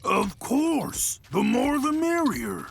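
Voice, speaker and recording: An actor playing a big, good-natured warrior in a video game, jovial and folksy in manner. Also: male voice, extremely high pitch, wildly swooping pitch, slow, vocal fry, slight background noise, heavily acted. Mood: hearty, frank. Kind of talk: video game cutscene acting.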